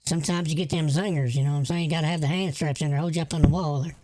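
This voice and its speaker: A woman's voice: low voice, fairly even intonation, racing, noisy background, close to an everyday voice. A woman playing a rambling, crude, folksy older woman with a Southern US accent on an improvised prank phone call. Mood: rambling, agreeable.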